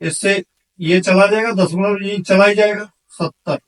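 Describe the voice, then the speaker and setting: A man's voice: high voice, fairly even intonation, unhurried, far from the mic, rough recording. A man teaching exam problems step by step, asking questions and answering them himself in an instructional manner. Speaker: physics teacher for exam candidates.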